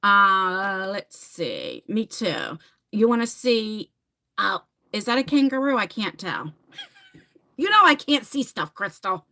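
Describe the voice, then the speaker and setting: A woman's voice: mid-range voice, very animated, takes it slowly, pushed and bright. A woman in her forties from New Jersey, chatting casually on a live stream, reading viewer comments and talking to them by name. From New Jersey, USA. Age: 40s. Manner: chatty, laughs often, talks straight to viewers by name. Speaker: livestream host.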